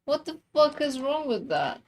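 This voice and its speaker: A woman's voice: high-pitched, sing-song, moderate pace, a loud crowd. A woman chatting casually beside a streamer, bluntly swearing and offering tips on how to play the game. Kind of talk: casual chat during a game.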